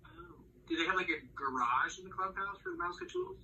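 A woman's voice: mid-range voice, huge pitch swings, brisk, sharp tone, slightly rough, highs cut off. A woman talking casually with a small child in a bedroom, encouraging and prompting with questions. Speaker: parent chatting with a young child. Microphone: handheld phone, close by.

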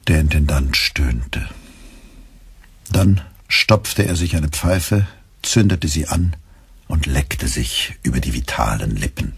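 An actor playing a measured storyteller, reading scripted literary narration in a radio play. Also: male voice, a bass voice, expressive, gravelly, slightly rough, dry recording, exaggerated voice.

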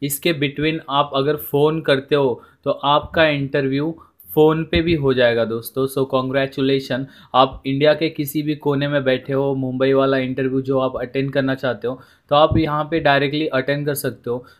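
A man's voice: mid-range voice, fairly even intonation, moderate pace. A man with an Indian accent giving an informal explainer voice-over, addressing viewers as friends, repeating himself and mixing in English words. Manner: repetitive, often addresses listeners as friends, mixes in English words. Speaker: presenter of a job information video.

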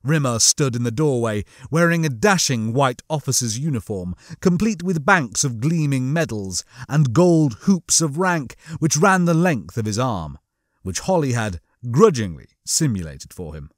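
A man reading comic fiction as a scripted audiobook, with a theatrical, expressive storytelling manner. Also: medium pitch, unhurried, no echo, clean studio sound. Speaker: audiobook narrator.

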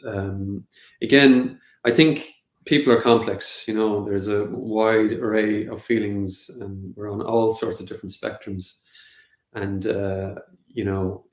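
A man in his thirties with an Irish accent, a native Irish speaker, answering interview questions on a video call with a lot of hesitation and restarts. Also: on the low side. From Connemara, west of Ireland. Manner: hesitant, many fillers and restarts. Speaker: film director. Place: home room, seated on a sofa. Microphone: video call webcam. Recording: echoey room.

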